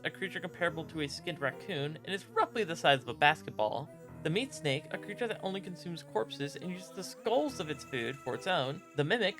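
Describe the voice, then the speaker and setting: Higher-pitched man narrating over music. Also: a bit bright. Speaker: video essayist and host. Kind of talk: scripted narration.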